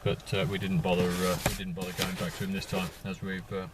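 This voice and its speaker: A man chatting as an amateur radio operator in a halting way, repeating words as he goes and using call signs. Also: low voice, level tone, very noisy. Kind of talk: casual radio contact chat with call signs. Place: inside a parked vehicle.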